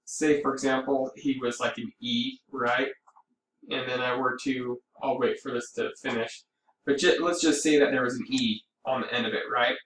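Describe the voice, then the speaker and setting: A man talks through a screen-recorded tutorial in a fairly flat, informal voice, explaining step by step and thinking aloud. He sounds a little way from the microphone in an echoey room, with faint noise behind him. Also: medium pitch. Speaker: amateur radio operator giving a tutorial. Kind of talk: casual screen-recorded software walkthrough. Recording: roomy, distant mic with background noise.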